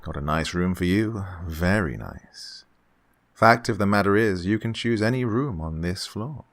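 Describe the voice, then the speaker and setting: A man acts out a falsely genial gentleman villain of radio suspense, low in pitch and very unhurried. His courtesy is oily and insistent, and his delivery swings widely up and down. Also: lively intonation. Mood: bustling, courteous. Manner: unctuous, over-courteous, insistent. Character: falsely genial, sinister male employer luring a young woman. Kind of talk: old-time radio suspense drama acting.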